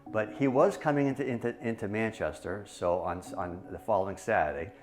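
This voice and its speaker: Halting man with soft music behind. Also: fairly low pitch. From New Hampshire, USA. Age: 40s. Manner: halting, many fillers and restarts. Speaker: church member, small business owner. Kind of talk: testimony video interview.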